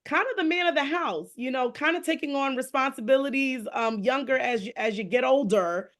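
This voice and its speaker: A woman hosting a casual conversational podcast interview, quick to laugh and react to what she hears. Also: high voice, a bit bright. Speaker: podcast host interviewing her father.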